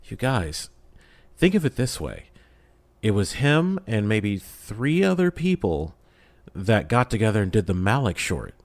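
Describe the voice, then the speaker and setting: Guy with a fairly deep voice, podcast guest, casual and earnest, kinda emphatic when he talks. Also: big pitch swings, unhurried. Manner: emphatic, earnest, acts out imagined quotes. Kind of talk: casual interview chat.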